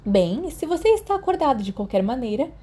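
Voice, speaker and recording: A Brazilian woman voicing a caring, gently scolding school nurse in a storybook reading. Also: sits high, sing-song, a little fast, slightly rough, pitched above the performer's own voice, heavily acted. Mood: resigned.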